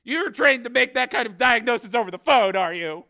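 An exasperated male news cameraman in an audiobook, acted out by a man, pitched very high and forceful. He is nervy and sarcastic, protesting with sharp agitation. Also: animated, fairly quick, vocal fry, full character voice. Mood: sarcastic, agitated. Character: nervous, exasperated, sardonic young-sounding male TV news cameraman.

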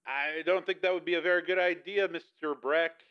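A man's voice: on the high side, fairly even intonation, normal speed, smooth, pitched above the performer's own voice, natural voice. A man voicing a weary, curt corporate security guard in an audiobook, with a tinny tone and a warning manner. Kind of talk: audiobook character acting.

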